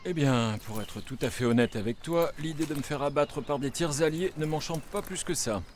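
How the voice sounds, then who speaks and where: A man's voice: a little high, moderate pace, close to an everyday voice. A man playing a skeptical, sardonic but loyal soldier in a war video game. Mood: dry, sardonic. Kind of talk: cinematic war game dialogue. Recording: loud outdoor battlefield noise.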